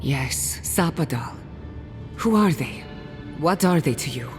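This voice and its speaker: A woman playing an inquisitive, wry scholar in a fantasy video game, speaking in an analytical, expressive way. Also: low-pitched, slow pace. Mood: astonished. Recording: reverberant hall, music underneath. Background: music.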